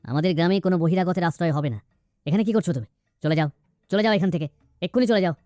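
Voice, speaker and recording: A man's voice: high-pitched, racing, mellow, dry recording, clean studio sound, close to an everyday voice. A man voicing a haughty, hostile villager in a cartoon, curt and scornful. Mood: suspicious. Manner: curt, scornful, repetitive orders. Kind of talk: cartoon voice acting.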